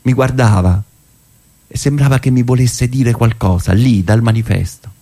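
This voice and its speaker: A man's voice: medium pitch, warm tone. Man delivering an ironic stage monologue. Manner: conversational, ironic, with rhetorical pauses. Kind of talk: theatrical narrative monologue.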